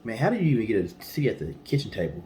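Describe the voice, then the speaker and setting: A man's voice: on the low side, big pitch swings, racing, dark tone. A man co-hosting a storytime show, telling a story in an informal, colloquial way with casual asides. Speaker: co-host of a storytime guessing-game show. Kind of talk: storytelling from notes with casual banter.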